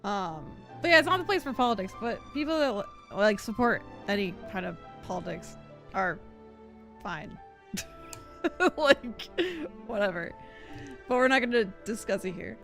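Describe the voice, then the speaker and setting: A woman livestreamer chatting casually with viewers over a game, giggly and quick to laugh. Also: medium pitch, animated, a bit bright, soft background music. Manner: giggly, bubbly, laughs often. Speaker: livestreamer host. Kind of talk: casual chat with live viewers while gaming.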